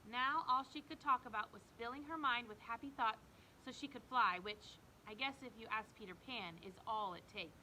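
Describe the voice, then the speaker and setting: Female storybook reader, bright and fairly high-pitched, expressive delivery, over audible background noise and rumble. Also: moderate pace, poor audio. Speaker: teacher reading a children's book aloud. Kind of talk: storybook read-aloud narration.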